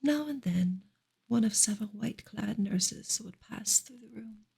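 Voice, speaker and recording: A woman reading a book aloud for ASMR in a soft, breathy, unhurried whisper. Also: medium pitch. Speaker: ASMR reader and streamer.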